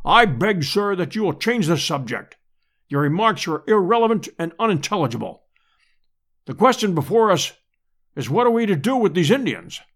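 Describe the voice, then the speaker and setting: Man performing a pompous, bombastic professor, animated and orotund. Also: high voice, normal speed, full character voice. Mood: indignant, haughty. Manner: pompous, orotund, formal diction. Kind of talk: dramatised audiobook character reading.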